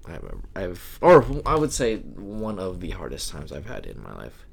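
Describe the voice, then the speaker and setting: A young man co-hosting a casual podcast, speaking slowly and haltingly with many pauses. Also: deep voice, huge pitch swings, creaky, breathy edge. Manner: slow, halting, soft-spoken with many pauses. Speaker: podcast co-host.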